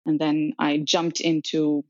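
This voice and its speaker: Serbian-born lady in her 30s, lowish voice, lots of ums, dry muffled sound. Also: flat delivery, slow, darkish tone, no echo. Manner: chatty with frequent ums and laughs. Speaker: podcast guest. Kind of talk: conversational storytelling.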